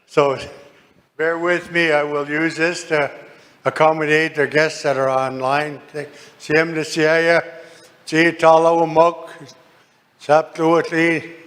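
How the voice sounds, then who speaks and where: A man giving a ceremonial address, speaking slowly and deliberately. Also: on the high side, level tone, fairly bright tone, in a large hall.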